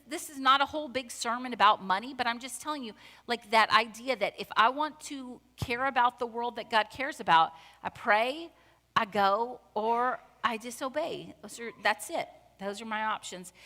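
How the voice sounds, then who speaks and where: A woman's voice: fairly high pitch, bright, forceful tone. A middle-aged woman preaching a sermon in a church, talking conversationally and with emphasis. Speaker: pastor. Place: church sanctuary. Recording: long church hall reverb.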